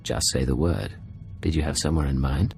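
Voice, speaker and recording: An actor playing a calm, commanding male secret agent in a video game, gravelly and measured. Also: very deep voice, faint music behind. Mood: soft, intimate. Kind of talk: video game cutscene acting.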